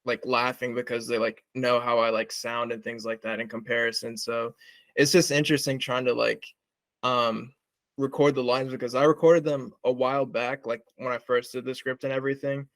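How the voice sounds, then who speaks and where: A man's voice: medium pitch, level tone, normal speed, a bit bright, no echo. A young man hosting an interview, talking casually with lots of "like" fillers. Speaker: interviewer, project creator.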